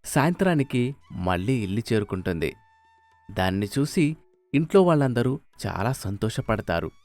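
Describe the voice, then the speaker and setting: A man's voice: mid-range voice, very animated, moderate pace, a little mellow, dry recording. A man narrating a scripted moral story as a storyteller, with music behind him. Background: faint music.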